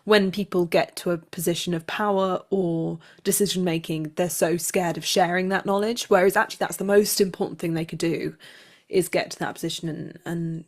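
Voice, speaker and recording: A woman's voice: fairly low pitch, fairly even intonation, normal speed. A woman actor speaking conversationally as an interview guest.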